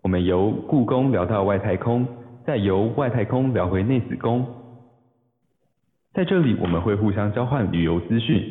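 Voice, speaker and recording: A man's voice: fairly low pitch, lively intonation, moderate pace. A man reading a scripted welcome announcement as a club announcer. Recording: dull, echoey, reverberant phone-like audio.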